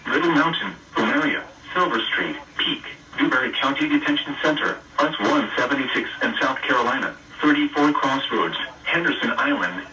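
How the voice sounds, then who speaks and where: Synthetic male weather radio voice, distant. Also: medium pitch. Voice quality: synthetic text-to-speech voice. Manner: flat, formal, list-like delivery. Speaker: automated weather radio announcer. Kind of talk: scripted emergency weather warning readout.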